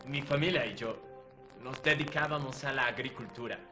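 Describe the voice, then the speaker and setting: A man playing a proud Irish deserter captain, recounting his past in a measured, earnest way. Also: mid-range voice, close to an everyday voice. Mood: solemn. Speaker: guest in character. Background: music underneath.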